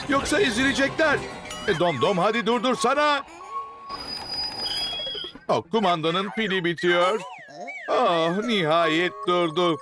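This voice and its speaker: A narrator telling a children's cartoon, with many exclamations and quick jumps into the characters' lines. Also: mid-range voice. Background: cartoon music.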